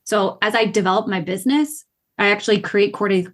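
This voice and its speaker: A woman talking as a podcast guest, telling stories about her goals in an upbeat, animated way. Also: medium pitch, fast. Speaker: podcast guest, gamification coach. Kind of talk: casual podcast conversation.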